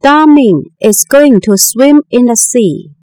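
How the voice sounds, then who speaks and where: A woman narrating a textbook listening exercise, reading instructions and sentences with slow, careful enunciation. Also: a little high, lively intonation, mellow, no room sound, studio quality.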